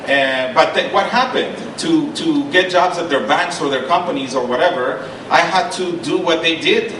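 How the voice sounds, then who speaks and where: A man's voice: fairly high pitch, moderate pace. A middle-aged man from Honduras giving an earnest panel talk, restarting phrases and checking in with his audience. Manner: earnest, repeats and restarts, stresses points with "okay?". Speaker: panelist and Spanish lecturer. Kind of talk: panel talk with personal story. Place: lecture hall. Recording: echoey hall, mic from a distance, noisy.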